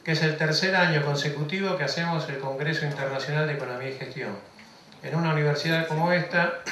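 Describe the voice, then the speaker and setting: A man's voice: fairly high pitch, sharp tone. A middle-aged man with an Argentine accent, a university vice-dean giving an earnest, emphatic formal welcome speech that stacks phrases in lists. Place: large lecture hall. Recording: echoey hall from far off, faint noise.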